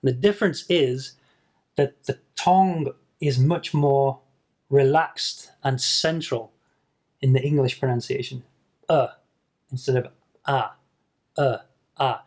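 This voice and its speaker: Male pronunciation teacher, unhurried and patient, middle pitch, demonstrates sounds carefully to camera. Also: darkish tone. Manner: patient, demonstrates sounds slowly and repeats them. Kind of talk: slow explanatory video lesson to camera.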